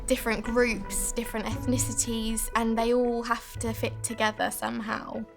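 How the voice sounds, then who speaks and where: A woman in a documentary interview with a flat, gravelly voice pitched a little high, speaking matter-of-factly. Music plays underneath. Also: moderate pace.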